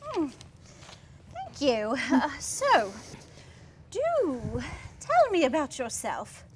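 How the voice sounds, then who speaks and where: Woman acting very high-pitched, chipper polite hairdresser. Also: wildly swooping pitch, extremely slow, slight background noise, heavily acted. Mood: bubbly, chatty. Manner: genteel, exclamatory, fussily polite. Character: chipper, polite hairdresser woman in a soap-opera-era salon. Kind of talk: staged play reading. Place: children's theatre stage, filmed staged reading.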